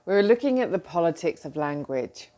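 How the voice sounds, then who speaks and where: A middle-aged woman with a British accent talks in a slightly low voice. She speaks casually and with animation, filling her speech with ums and friendly asides. She sounds like a coach addressing her followers. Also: big pitch swings, brisk, warm tone. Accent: British English. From Britain. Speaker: coach and host. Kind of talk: casual talk to camera.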